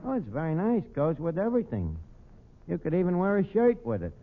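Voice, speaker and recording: Streetwise New wisecracker running a tavern, pompous and sardonic, on a noisy, tinny vintage radio comedy recording. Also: male voice, a little high, wildly swooping pitch, fairly quick. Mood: wry, teasing. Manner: sardonic, streetwise, malapropism-prone. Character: wisecracking, self-important tavern manager with mangled grammar. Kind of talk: 1940s radio comedy acting.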